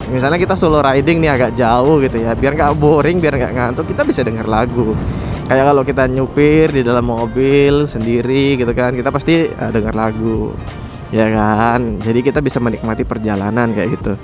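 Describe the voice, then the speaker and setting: A man's voice: medium pitch, steady tone, moderate pace, music in the background. A man talking casually to viewers as a motorcycle vlogger, explaining things conversationally and often checking in with his listeners. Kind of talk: casual motovlog talk to viewers.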